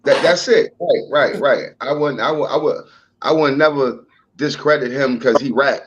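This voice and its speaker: A man's voice: medium pitch, moderate pace, highs cut off. A man in casual chat who keeps agreeing with short replies and gives his opinions plainly.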